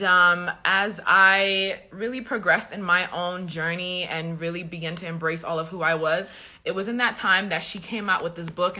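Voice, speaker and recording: A woman's voice: on the low side, bright, forceful tone. A woman from Liberia hosting a live podcast stream, chatting casually and upbeat with her viewers, with lots of ums. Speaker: podcast host and coach.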